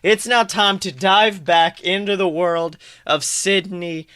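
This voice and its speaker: A man hosting a podcast in casual chat, talking in an excitable, slangy, sweary way. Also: high-pitched, slow pace, noisy background. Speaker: podcast host.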